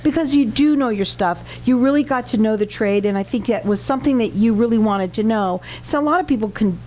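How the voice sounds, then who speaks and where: Woman radio host talking it up in the studio, bit high voice, chatty and upbeat. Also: fairly quick, a little mellow, slight background noise. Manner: chatty and enthusiastic, runs on. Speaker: radio talk show host. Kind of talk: casual radio interview.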